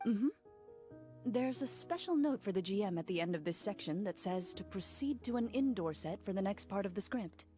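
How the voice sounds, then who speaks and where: A woman voicing a composed young female duelist who speaks in a calm, measured, matter-of-fact way. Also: medium pitch, faint music behind, lightly acted. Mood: gentle. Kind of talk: game cutscene voice acting.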